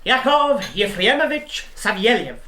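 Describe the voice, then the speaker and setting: An actor playing a tipsy, sardonic British intelligence officer in a radio drama, talking in a theatrical way. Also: male voice, very high-pitched, sing-song, unhurried, bright, forceful tone, roomy sound, slight background noise, heavily acted.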